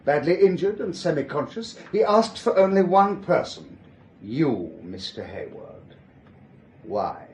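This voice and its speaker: An actor playing a suave, probing German police inspector in a radio drama, formal and precise, with a German accent. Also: male voice, fairly high pitch, sing-song, measured pace, a little mellow, reverberant, slight background noise. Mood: stern, insistent. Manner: formal, precise, elaborately polite.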